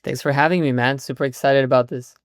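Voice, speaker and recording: A man in his thirties from Chile, a founder answering interview questions in a relaxed, chatty way. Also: mid-range voice, fast talker, clean tone, dry recording, studio quality. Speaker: guest, startup founder.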